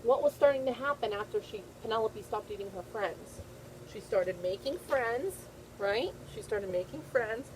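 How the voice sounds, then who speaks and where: A woman's voice: fairly high pitch, expressive, normal speed, noisy background. A woman teacher reading a picture book aloud to young children, repeating phrases and checking in with simple questions.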